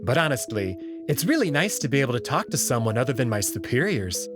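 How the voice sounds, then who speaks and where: A man voicing a polite, discreet young alien servant with a courteous, measured and gently candid manner, in a visual novel. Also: medium pitch, sing-song, music in the background, natural voice. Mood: sly, reassuring. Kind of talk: visual novel character read-through.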